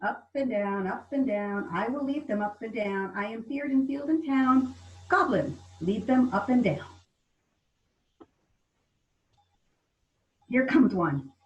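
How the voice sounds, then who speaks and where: A woman's voice: fairly high pitch, lively intonation, a little slow. Muffled and a little far from the mic, a woman plays a mischievous goblin servant of the fairies. The verse is theatrical and teasing, as in an amateur Shakespeare reading on a video call. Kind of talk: Shakespeare table read in verse.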